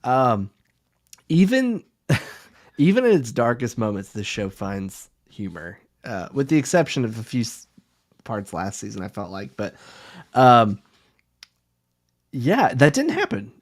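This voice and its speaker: A man with a mid-pitched voice talks as a podcast co-host. His delivery is casual and conversational, with an informal, slightly halting flow. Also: very animated. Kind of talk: casual chat.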